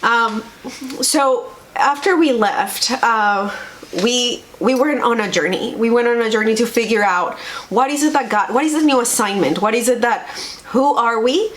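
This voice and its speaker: Higher-voiced Colombian woman, earnest storyteller. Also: moderate pace, fairly bright tone, slight background noise. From Bogota, Colombia. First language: Spanish. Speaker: vlog co-host, pastor. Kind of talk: conversational personal storytelling.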